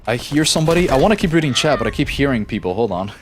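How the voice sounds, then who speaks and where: A young man live-streaming a shooter game, chatting casually with his viewers and reacting quickly during fights. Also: medium pitch, sing-song, a little hiss or hum. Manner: easygoing, chatty, reads chat aloud, quick reactions mid-fight. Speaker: gaming streamer. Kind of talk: casual live-stream chat while playing.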